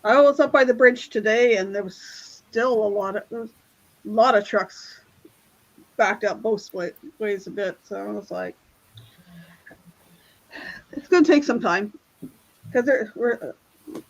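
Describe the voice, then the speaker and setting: A woman's voice: medium pitch, measured pace, pauses often. Lo-fi, rambling woman on a video call. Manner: rambling storyteller, laughs often. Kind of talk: casual group chat.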